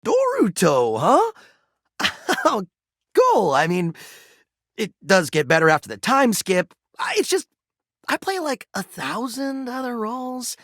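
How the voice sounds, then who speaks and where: Goofy, hugely animated game developer. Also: male voice, very high voice, a little slow, dry recording, studio quality, heavily acted. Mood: amused. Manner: playful, slangy. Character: goofy, good-natured male voice actor and game developer. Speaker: actor and game creator character. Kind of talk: casual video game voice acting.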